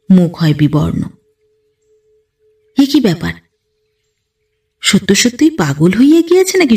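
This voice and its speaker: A woman reading a literary story aloud in an expressive, dramatic, emotive manner. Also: medium pitch, distant mic. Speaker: audio story narrator.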